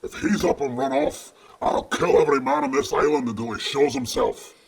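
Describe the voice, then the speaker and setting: A man playing a huge, fierce giant with a rough, booming delivery in an audio drama legend. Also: huge pitch swings, moderate pace, heavily acted. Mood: menacing, bellowing. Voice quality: rough, gravelly. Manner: booming, bellowing. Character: huge fierce bellowing male giant, easily daunted.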